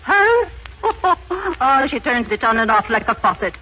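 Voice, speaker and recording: An actress playing a bossy, tart middle-aged housekeeper with an Irish accent in a 1940s radio drama. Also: female voice, on the high side, big pitch swings, unhurried, pushed and bright, full character voice. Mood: scornful. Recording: muffled, noisy 1940s radio recording.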